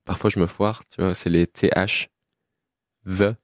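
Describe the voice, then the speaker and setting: A man in his twenties from Belgium, a musician guest chatting casually in an interview, relaxed with frequent laughs and fillers. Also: low-pitched, measured pace, no echo, clean studio sound. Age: 20s.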